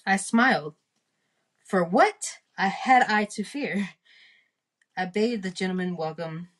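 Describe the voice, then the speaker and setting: A woman reading a classic short story aloud, haltingly and carefully, sounding out the hard words as she goes. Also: mid-range voice, a bit bright. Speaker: reader of a storytime podcast.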